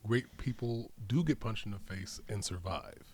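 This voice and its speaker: A man's voice: lowish voice, lively intonation, moderate pace, crowd noise. A man bantering casually on a podcast, cutting in with short teasing rebuttals. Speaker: podcast co-host.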